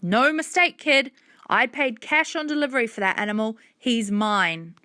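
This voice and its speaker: A woman voicing a furious, menacing factory manager, a man with a gruff, curt, threatening way of talking, in a storybook. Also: on the high side, normal speed, bright, forceful tone, no echo, studio quality. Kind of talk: dramatised storybook narration.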